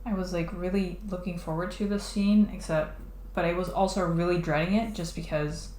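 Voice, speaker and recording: Casting: woman streamer, lowish voice, rambling casual chat, echoey room with faint hiss. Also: fairly even intonation, moderate pace, a little mellow. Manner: rambling, lots of "like" and restarts. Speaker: streamer drawing a comic. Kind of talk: casual chat while drawing.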